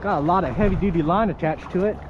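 A high-voiced man narrates casually from a kayak, muttering short remarks to himself and to the fish he catches. The action-camera audio is dull and muffled. Wind and water noise run clearly underneath. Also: quick pace. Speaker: kayak fishing vlogger. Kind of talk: casual narration while fishing. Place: on a kayak outdoors on shallow water.

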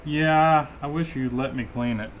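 A man's voice: a little high, normal speed, highs cut off, a little hiss or hum. A man in his thirties, a mechanic host chatting casually as he rebuilds an engine in his garage workshop. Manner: easygoing, talks while working with his hands.

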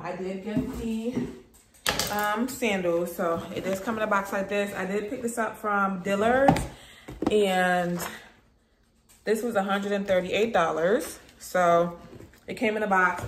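A woman, chatting informally to viewers in a home vlog and often repeating her phrases. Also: mid-range voice. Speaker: lifestyle vlogger. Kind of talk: casual vlog chat to viewers. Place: rooms at home, indoors. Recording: roomy echo, background noise.

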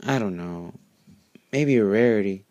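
A man's voice: on the low side, a little slow, a little mellow, dry recording, lo-fi sound, lightly acted. Casting: breezy young female unicorn for a storybook, cheeky, easygoing, played by a man, dry sound. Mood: eager, casual.

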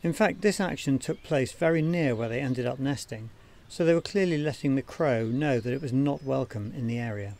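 Guy doing scripted wildlife film narration, medium pitch, measured, explains things clearly step by step. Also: darkish tone. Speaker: narrator of a bird observation training film.

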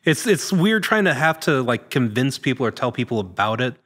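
A man in his thirties talks in a relaxed conversational interview style. His pitch is middling for a man. He speaks into a podcast mic in a large room. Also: a little fast. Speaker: actor being interviewed. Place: large indoor room with hard floor and open ceiling. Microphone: podcast microphone on a boom arm.

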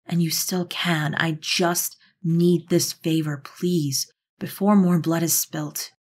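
A woman acts out a persistent witch for an audio drama, with a lowish, raspy voice. She is earnest and persuasive, making her case in measured phrases. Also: steady tone, heavily acted. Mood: pleading. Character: earnest, persistent female witch, pleading go-between. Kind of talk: audio roleplay drama acting.

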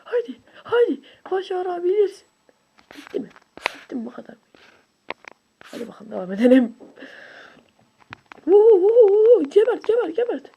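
An excitable video creator with a high voice, giving casual, slangy gameplay commentary with shouts and whoops. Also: moderate pace, pauses often, narrow, tinny audio, slight background noise.

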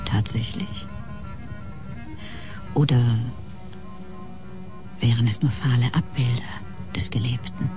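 An actress playing a wistful woman in a poetic audio drama monologue, breathy and half-whispered, brooding slowly. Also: extremely low pitch, steady tone. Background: music.

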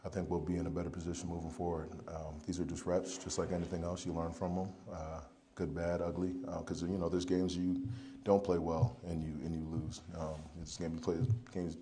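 Young male athlete, very low and flat, halting post-game podium answers. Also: a little hiss or hum. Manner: halting, many ums and you-knows, low-key. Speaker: football player. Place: press conference room, indoors. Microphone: podium mic in front.

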